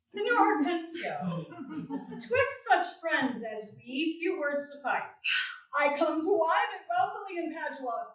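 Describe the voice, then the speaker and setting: A woman playing a brash, swaggering young gentleman suitor in a Shakespearean staged reading, declaiming verse theatrically. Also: high voice, very animated, slow, heavily acted. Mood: brisk, confident. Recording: echoey room, distant microphone.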